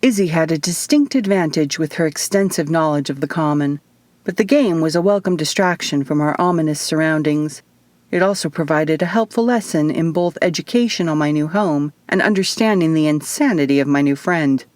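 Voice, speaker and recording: A woman reading first-person fiction as an audiobook narrator, in an expressive, measured storytelling manner. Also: low-pitched, no echo. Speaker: author reading own audiobook.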